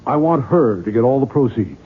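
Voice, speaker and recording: An actor playing an irritable, guilt-ridden novelist with a curt, weary, grumbling manner in a 1970s radio drama. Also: male voice, medium pitch, expressive, brisk, breathy edge. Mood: eager, earnest. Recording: muffled phone-like vintage radio recording.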